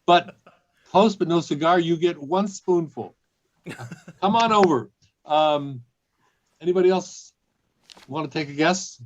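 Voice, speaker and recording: A man's voice: on the high side, takes it slowly, long pauses. A man leading a service over a video call, playful and hesitant with many ums. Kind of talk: casual service hosting. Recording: dull, lo-fi call audio.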